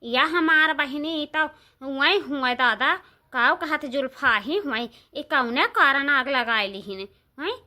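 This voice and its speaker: A woman's voice: high-pitched, slow, bright, forceful tone, full character voice. A woman voicing a bossy, scolding village housewife in a comedy cartoon, with an eastern Uttar Pradesh accent and emphatic, repetitive complaints. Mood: alarmed lamenting.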